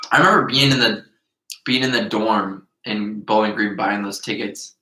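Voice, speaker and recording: A man of about twenty, co-hosting a casual podcast chat, rambling and laughing often as he gets excited. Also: on the low side, unhurried. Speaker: podcast co-host. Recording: roomy echo.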